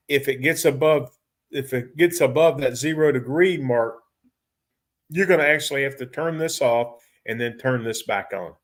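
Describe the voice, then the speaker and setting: A middle-aged man giving an informal technical training lecture in a folksy, halting way, often repeating and rephrasing himself. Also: mid-range voice, fairly bright tone.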